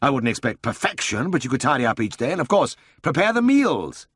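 A man voicing a brisk, impulsive middle-aged vet who runs the practice and talks in breezy, bossy exclamations. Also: medium pitch, big pitch swings, fairly bright tone, slightly rough, no room sound, voice pushed up.

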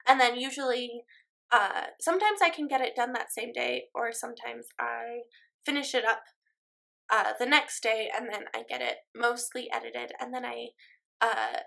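Bright, creaky-voiced young woman with a stuffy nose. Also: on the high side, animated, normal speed. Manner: chatty, rambling, many ums and likes. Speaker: Sims speed-build YouTuber. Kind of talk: casual voice-over commentary.

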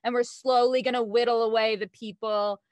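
A woman's voice: on the high side, steady tone, moderate pace, darkish tone, no room sound. A young adult woman chatting casually as an actor on an online panel. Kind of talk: casual chat. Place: home, on a video call.

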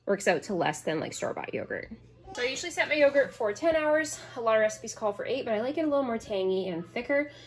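A woman's voice: on the high side, animated, fast talker. A woman in her thirties giving casual, rambling, offhand narration as she cooks in a home kitchen, recorded on a phone. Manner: rambling, offhand self-commentary. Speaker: home cooking vlogger. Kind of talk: casual chatty narration while cooking. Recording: clear background noise, kitchen clicks.

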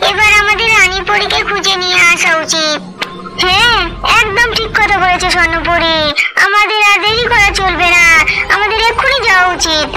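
A woman's voice: very high voice, normal speed, poor audio. A woman voicing an earnest, kindly young fairy in a fairy-tale cartoon, sweet and declamatory. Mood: resolute.

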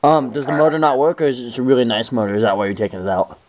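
A man's voice: medium pitch, steady tone, racing, darkish tone. A man making a casual phone call in a blunt, informal way. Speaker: prank caller.

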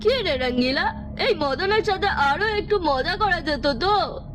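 A woman's voice: very high-pitched, expressive, unhurried, over music. A woman voicing a bossy, mocking moon who guards a village, scolding and taunting. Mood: mischievous.

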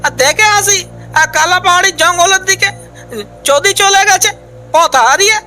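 A distressed cartoon villager put on by a narrator, pitched far up and wailing. He frets frantically and repeats his words, with music playing behind him. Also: normal speed, sharp tone. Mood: worried, wailing. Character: distressed male villager searching for lost calf, panting. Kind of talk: animated village folk tale dialogue.

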